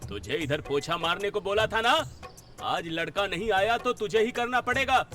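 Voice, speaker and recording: A man's voice: sits high, expressive, normal speed, fairly bright tone, faint music behind. A man playing a grumpy, miserly roadside-eatery boss in a cartoon, scolding and sarcastic in an exaggerated comic way. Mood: angry, scolding.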